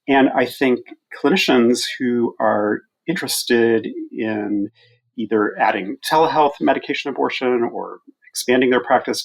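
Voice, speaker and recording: A male physician researcher talking through evidence in an interview, deliberate and stressing key words. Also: mid-range voice, gravelly, husky, rough recording.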